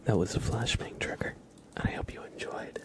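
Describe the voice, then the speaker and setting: A man whispering softly and casually as he hosts an ASMR livestream, in a hushed, unhurried manner.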